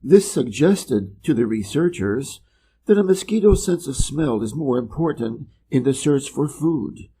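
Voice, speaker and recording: A man from Wisconsin with an American Midwest accent, reading an article slowly and carefully for English learners. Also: mid-range voice, lively intonation, dark tone, slight background noise. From Wisconsin, USA. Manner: measured and deliberate, clearly pronounced. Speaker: reader of an English learning channel. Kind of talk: slow, careful reading aloud.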